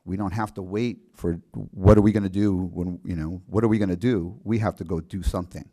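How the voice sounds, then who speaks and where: A man speaking at a city council meeting in an earnest, halting way with many restarts. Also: deep voice, steady tone, warm tone. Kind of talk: public meeting remarks.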